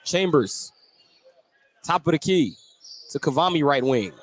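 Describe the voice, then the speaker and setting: A man's voice: a little high, lively intonation, a little slow. A man working as a radio play-by-play announcer, describing a live basketball game in clipped, running phrases. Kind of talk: live radio sports commentary. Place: indoor basketball gym. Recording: very noisy live gym broadcast.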